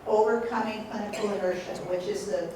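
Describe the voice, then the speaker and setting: A woman's voice: medium pitch, steady tone, a little fast. Emphatic female expert with a big voice, heard from a distance in a very echoey, noisy room. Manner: emphatic, loud voice. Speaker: expert panelist. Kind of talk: adding remarks at a training session.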